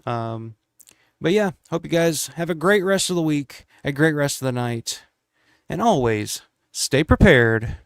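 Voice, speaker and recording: A man hosts a casual live stream while congested and losing his voice a little. He rambles, restarts and fills gaps with ums and likes. His voice is smooth and resonant, recorded dry and close. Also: on the high side, animated, unhurried, no echo. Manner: rambling, many fillers and restarts.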